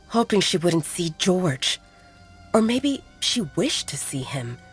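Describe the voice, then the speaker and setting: A woman narrating a scripted romance audio drama as an audiobook storyteller, with music behind her. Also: on the low side. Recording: music bed under the voice. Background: background music.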